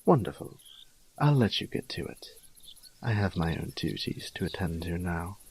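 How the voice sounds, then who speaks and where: A man's voice: sits low, expressive, moderate pace, a little mellow, slightly rough, full character voice. A man playing a dapper, fussy, teasing young nobleman in an audio drama, speaking in an airy, flowery, mannered way. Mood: breezy.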